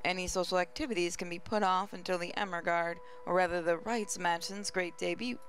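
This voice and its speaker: A woman's voice: lowish voice, steady tone, brisk, fairly bright tone. A woman reading a visual novel aloud as a first-person narrator, with dramatic storybook delivery. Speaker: gaming channel host. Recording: music playing behind voice. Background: game music.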